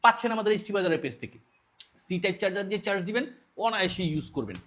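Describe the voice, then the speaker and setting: A man with a Bangladeshi accent giving a promotional sales pitch as a product presenter for an online shop. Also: lively intonation, rapid-fire, fairly bright tone, slight background noise.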